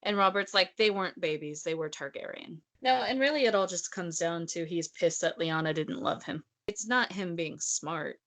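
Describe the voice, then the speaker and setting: A woman's voice: fairly low pitch, normal speed, few pauses, fairly bright tone. A woman co-hosting a casual book discussion, talking in a blunt, emphatic, sarcastic way. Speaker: podcast co-host discussing a novel.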